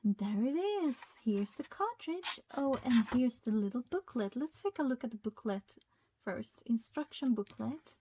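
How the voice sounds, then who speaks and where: A woman from Sweden with a Swedish accent, speaking English as a second language, chatting excitedly as she unboxes a retro game and reads the packaging aloud. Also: a little high, moderate pace, slight background noise. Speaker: YouTuber. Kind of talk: casual unboxing chat.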